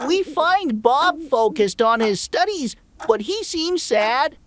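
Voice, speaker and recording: A woman's voice: high-pitched, lively intonation, slow. A woman narrating a scripted children's story.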